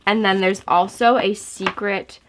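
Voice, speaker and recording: A woman's voice: medium pitch, steady tone, a little slow, a little hiss or hum. A young woman in a casual book haul vlog, speaking from home by her bookshelves, slightly stuffy from a sore throat and gushing with enthusiasm. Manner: gushing, enthusiastic, chatty with fillers. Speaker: book vlogger. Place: home room in front of bookshelves.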